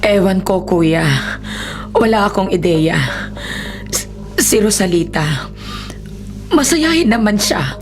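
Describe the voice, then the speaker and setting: A woman's voice: medium pitch, lively intonation, slow pace, long pauses. A woman playing a devoted, protective older nanny in a radio horror drama, earnest and emotional in delivery. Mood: troubled. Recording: dramatic music bed under the voice.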